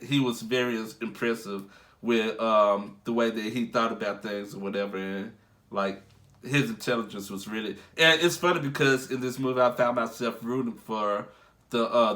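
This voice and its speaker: A young man with a stuffed-up, under-the-weather voice talks through a film review off the cuff, full of ums and restarts. His tone is bright and forward, pitched in an ordinary middle range. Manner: rambling, many fillers and restarts. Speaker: movie review vlogger. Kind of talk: casual spoken movie review.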